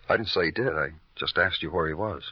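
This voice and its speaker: An actor playing a world-weary big-city police detective in an old radio crime drama, terse and brooding. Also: male voice, sits low, fast, slightly rough, no room sound. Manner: terse, brooding, poetic narration and blunt questioning. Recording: dull old radio recording with music.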